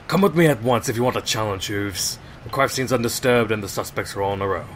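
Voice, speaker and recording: A man's voice: on the low side, moderate pace, close to an everyday voice. A man playing a harried, grumbling pony police inspector in an audio drama, exasperated but matter-of-fact. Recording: noisy, with heavy rain behind.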